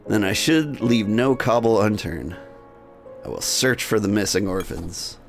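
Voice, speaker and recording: A man hosting a game livestream, switching between jokey, rambling chat and reading game text aloud in a gravelly voice. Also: lowish voice, lively intonation, a little slow. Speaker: game streamer and host. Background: music.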